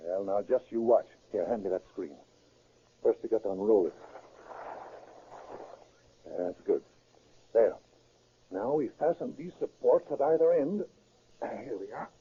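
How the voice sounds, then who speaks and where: Husky, cocksure gang boss, old radio. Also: male voice, lowish voice, unhurried, long pauses. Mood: confident. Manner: commanding, cocksure. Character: bossy, confident male gang leader and safecracker. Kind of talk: 1930s radio drama acting. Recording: muffled vintage 1930s radio recording.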